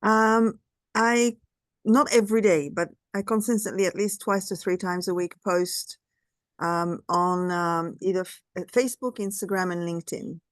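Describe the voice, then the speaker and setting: A woman's voice: mid-range voice, steady tone, moderate pace, no room sound. A middle-aged woman with a French accent, a realtor, chatting candidly on a video call and searching for words now and then. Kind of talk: casual meeting conversation.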